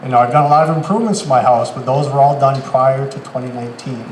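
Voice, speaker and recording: A man with an average-pitched voice lays out his candidate answer methodically. The outdoor sound system is very echoey, with faint background noise. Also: quick pace. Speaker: city council candidate. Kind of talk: explanatory answer at a public forum. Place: outdoors in a town park. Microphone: public address microphone.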